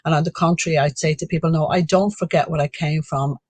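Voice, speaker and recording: A middle-aged woman with a Dublin accent, speaking earnestly as a guest on a live community discussion, with frequent pauses. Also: low-pitched, steady tone, darkish tone, dry recording. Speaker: panel guest.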